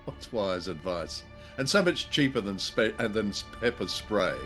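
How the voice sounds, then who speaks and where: A man with a fairly low voice chats as a show co-host. He talks in a hesitant, searching way with a dry, wry sense of humour. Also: expressive, moderate pace, fairly bright tone, soft background music. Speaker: podcast co-host. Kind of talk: conversational discussion of old radio drama.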